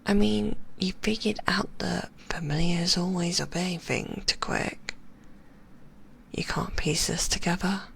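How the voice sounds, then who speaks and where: A woman voicing a possessive, sweetly manipulative female magician, whispering in a soft, cooing and softly condescending way. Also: fairly low pitch, fairly even intonation, measured pace, creaky, a little hiss or hum, rough recording. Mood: teasing. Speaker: ASMR roleplay performer. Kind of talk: whispered ASMR roleplay.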